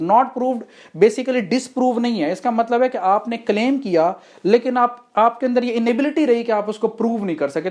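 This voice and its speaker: A woman's voice: medium pitch, darkish tone. A woman with a Pakistani accent giving an explanatory law lecture, methodically defining terms step by step and posing rhetorical questions. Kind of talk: explanatory lecture mixing Hindi-Urdu and English.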